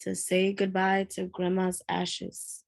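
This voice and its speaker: A woman's voice: fairly low pitch, completely flat delivery, slow pace, creaky. A woman acting a bold, precocious fifteen-year-old girl with thuggish charm in a script read, complaining and slangy. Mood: reluctant, uneasy.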